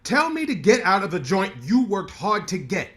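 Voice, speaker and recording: An actor playing a brash, ambitious lawyer who talks with cocky sarcasm in a staged play reading. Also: male voice, high-pitched, sing-song, moderate pace, a bit bright.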